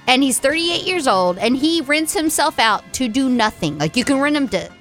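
A woman co-hosting a radio morning show, chatty and excitable, laughing often. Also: high voice, moderate pace, soft background music.

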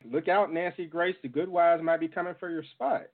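A man's voice: fairly high pitch, fairly even intonation, a little fast. A man hosting a radio podcast interview in a casual, joking way. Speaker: radio show and podcast host. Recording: dull audio with faint noise and clicks.

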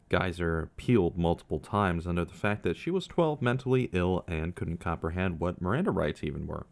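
A young man narrates his podcast from a script, thoughtful and earnest as he poses question after question. His voice sits fairly low for a man. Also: darkish tone.

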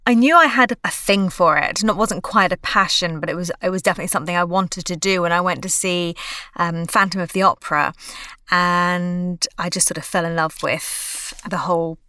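A woman's voice: on the low side, fairly even intonation, moderate pace, pushed and bright, dry recording, studio quality. An actress from Australia, chatting in an interview, laughing often and restarting her sentences. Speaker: actress and voiceover artist, interview guest. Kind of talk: casual interview conversation.

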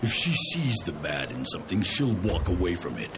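Protective friend, very deep voice, serious, reassuring, sci-fi audio drama, noisy ambience. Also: male voice, sing-song, normal speed. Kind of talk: science fiction audio drama acting.

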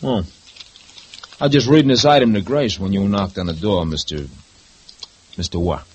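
An actor playing a mocking, domineering husband in a 1950s radio drama, talking with a sarcastic edge. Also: male voice, on the low side, normal speed, darkish tone, lo-fi sound, a little hiss or hum, close to an everyday voice. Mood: sneering. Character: sneering, bullying husband and witness.

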